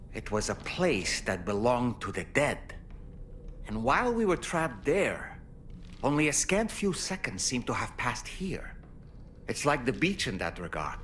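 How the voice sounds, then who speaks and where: An actor playing a quirky, scholarly adviser with a wordy, formal manner in a video game. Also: male voice, mid-range voice, bright, forceful tone, a little hiss or hum. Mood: explanatory. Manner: wordy, formal, old-fashioned turns of phrase. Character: loquacious, scholarly, quirky male adviser to a courier.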